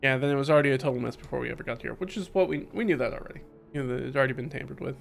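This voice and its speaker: A man's voice: medium pitch, racing, vocal fry. A man giving casual, musing playthrough commentary on a game, with hesitant asides as he thinks aloud. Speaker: game streamer. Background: music.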